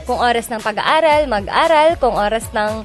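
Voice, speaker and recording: A woman's voice: a little high, lively intonation, normal speed. A young woman with a Filipino accent chatting casually and politely as a guest DJ on the radio. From Philippines, Cagayan province. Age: young adult. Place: radio studio. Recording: music bed behind voice.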